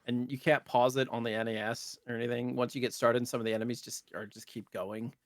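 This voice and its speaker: A man's voice: medium pitch, flat delivery, fast talker, no echo. Man chatting casually, dry close sound. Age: 30s. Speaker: podcast co-host. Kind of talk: casual retro gaming chat.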